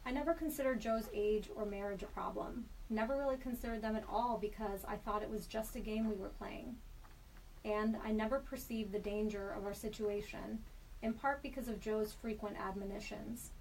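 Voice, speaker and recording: A woman in a studio reading a personal story aloud in a measured, deliberate way. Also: medium pitch, fairly even intonation, darkish tone. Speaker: storyteller guest. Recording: slightly distant mic with faint background hiss.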